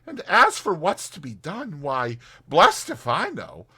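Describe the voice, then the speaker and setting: A man's voice: fairly high pitch, wildly swooping pitch, slow, pushed and bright, breathy edge, voice pushed up, exaggerated voice. Man doing an eager, homely storybook mole. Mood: grumpy. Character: eager, good-hearted, grumbling male mole of storybook.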